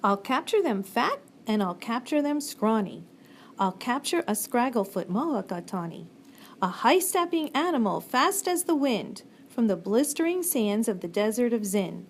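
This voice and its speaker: A woman's voice: a little high, very animated, normal speed. A woman on staff reading a rhyming storybook aloud. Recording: faint background room noise.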